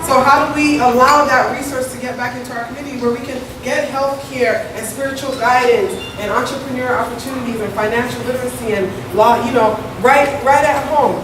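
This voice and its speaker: A woman's voice: sits high, steady tone, brisk, hardly any pauses. A woman moderating a community panel discussion in front of an audience, talking in a conversational way and often checking in with "right?". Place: large echoey event hall with an audience. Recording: echoey hall, distant mic, audible hiss.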